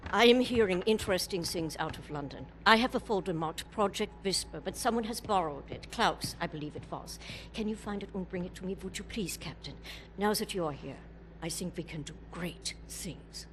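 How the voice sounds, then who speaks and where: A woman playing a steely resistance leader in a video game, with a measured, oratorical delivery. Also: on the low side, animated, close to an everyday voice. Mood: calm, polite. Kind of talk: video game cutscene acting.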